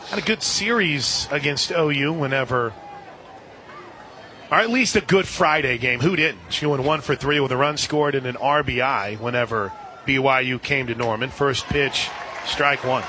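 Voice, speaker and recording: A man calling softball play-by-play on the radio, dropping into casual banter between pitches. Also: normal speed. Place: outdoor softball stadium broadcast position. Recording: outdoor stadium crowd and ambient noise. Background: crowd noise.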